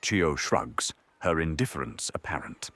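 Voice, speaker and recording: A man with a gravelly, husky voice giving dramatic scripted narration for an audio drama. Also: extremely low pitch, sing-song, unhurried, very noisy.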